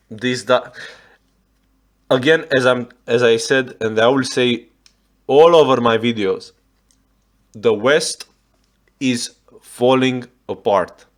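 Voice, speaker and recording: A man from Romania speaking English with a Romanian accent as a reaction video host, swearing often and restarting his sentences as he rants in exasperation. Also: medium pitch. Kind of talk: ranting reaction commentary.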